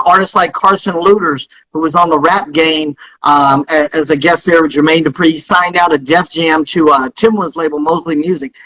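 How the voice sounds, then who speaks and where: A man's voice: high voice, pushed and bright, rough recording. A middle-aged man speaking emphatically as an interview guest, urging listeners on and repeating himself for stress. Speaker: guest, music industry founder. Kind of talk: live interview, motivational business advice.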